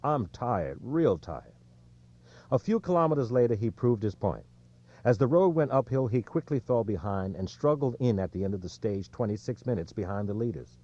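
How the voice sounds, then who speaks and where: Low-pitched man reading an audiobook. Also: expressive, fairly quick, mellow. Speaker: audiobook narrator. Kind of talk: scripted narration of sports non-fiction. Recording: dull, lo-fi audio.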